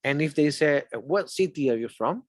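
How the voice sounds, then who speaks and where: A man's voice: on the high side, animated, normal speed, no echo, studio quality. A man from Chile with a Chilean accent, a native Spanish speaker and English teacher, interviewing conversationally and explaining things step by step with examples.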